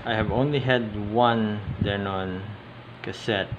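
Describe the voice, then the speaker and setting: A man with a slightly low voice talks very slowly through an informal, unscripted hands-on demonstration. He is hesitant, often stopping mid-sentence before carrying on. The recording has loud, steady noise and clicks behind him. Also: dull audio. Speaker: hobbyist video host. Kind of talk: casual unscripted show-and-tell commentary. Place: indoors at a tabletop. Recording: steady loud air-conditioning hiss, handling clicks. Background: loud background noise, clicks.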